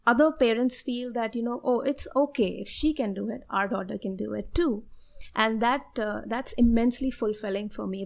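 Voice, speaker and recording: A woman in her thirties from India, speaking English with an Indian accent, whose first language is Hindi, telling her life story as an interview guest in an earnest, animated way. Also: medium pitch, vocal fry. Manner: earnest and animated, laughs often while telling stories.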